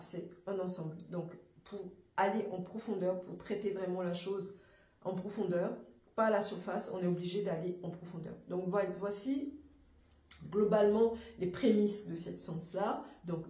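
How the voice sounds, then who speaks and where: A woman with a hoarse voice from a cold, presenting an afro hair care course in a halting, thinking-aloud, earnest way. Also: mid-range voice, mellow, echoey room. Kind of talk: informal spoken course presentation.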